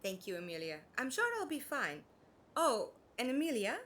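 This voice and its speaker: A woman's voice: on the high side, sing-song, moderate pace, a bit bright, a little hiss or hum. A woman playing a friendly, curious companion to a time traveller in an audio drama, inquisitive and direct. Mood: kind, playful.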